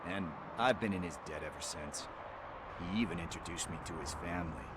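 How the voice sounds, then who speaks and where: An actor playing a laid-back, wry street informant and junkie hustler in a video game, hesitant, rambling and flippant. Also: male voice, low voice, fairly quick, very noisy, lightly acted. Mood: reflective.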